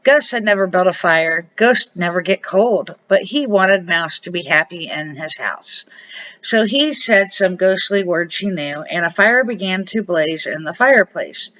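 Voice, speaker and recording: Casting: grandmotherly woman, middle-aged or older, bright voice, deliberate expressive storybook read, dull home recording. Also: lowish voice. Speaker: grandmother reading to children, series host. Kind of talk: read-aloud children's storybook narration.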